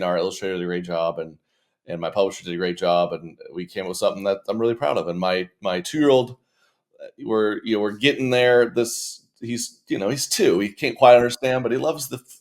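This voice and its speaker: A man, a young adult, chatting casually as an interview guest in a rambling, stop-start, joking way. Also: lowish voice. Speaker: comedian and children's author, interview guest.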